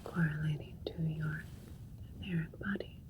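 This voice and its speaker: A woman voicing a calm, clinical female alien doctor in an ASMR roleplay, soothing and methodical in a soft, breathy whisper. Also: low-pitched, moderate pace, noisy background, natural voice.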